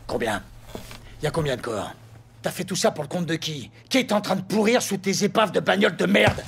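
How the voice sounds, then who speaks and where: An actor playing an aggressive police sergeant as the bad cop in a crime audio drama, sneering, crude and confrontational. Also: male voice, high voice, brisk, gravelly, a bit bright, slight background noise. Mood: furious.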